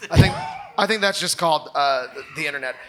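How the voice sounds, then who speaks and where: A man's voice: on the high side, fast talker, fairly bright tone. A man hosting a podcast with casual comedic banter, teasing and jumping in with short interjections. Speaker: podcast host.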